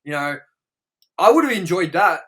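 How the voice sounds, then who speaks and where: A man chatting casually as a review show co-host, rambling emphatically and swearing freely as he pitches ideas. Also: very animated, normal speed, a bit bright.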